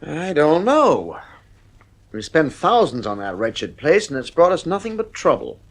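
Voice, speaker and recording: A man's voice: on the high side, sing-song, a little slow. A middle-aged man acting in an audio drama as an exasperated husband and father, moving between muttering and emphatic insistence. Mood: resigned.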